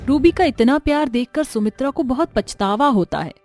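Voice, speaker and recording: A woman narrates a domestic moral tale from a script. Her voice sits fairly high, in storytelling style. Soft music plays behind her. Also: animated, normal speed, dry recording.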